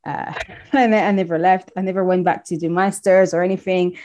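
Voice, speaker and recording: A woman's voice: fairly low pitch, steady tone, fairly quick, slight background noise. Woman from Congo telling her story. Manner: warm storytelling with frequent restarts. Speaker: guest. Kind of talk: casual interview chat.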